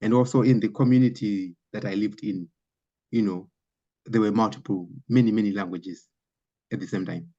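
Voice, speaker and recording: A man whose first language is Shona speaks in a low voice, reflecting and thinking aloud in a panel conversation. The recording sounds dull and lo-fi. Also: steady tone, normal speed, warm tone.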